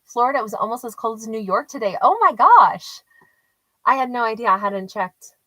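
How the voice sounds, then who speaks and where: A woman's voice: fairly high pitch, animated, normal speed, sharp tone, no room sound. A chatty woman tarot storyteller who talks casually with live viewers and laughs often. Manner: rambling with frequent restarts and fillers. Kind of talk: casual livestream reading and chat with viewers.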